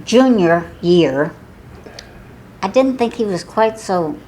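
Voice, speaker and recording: A woman's voice: medium pitch, lively intonation, takes it slowly, dark tone, a little hiss or hum. Older woman with a Southern Illinois accent. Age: 80s. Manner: chatty, laughs often, with false starts. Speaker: oral history interviewee. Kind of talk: casual reminiscing.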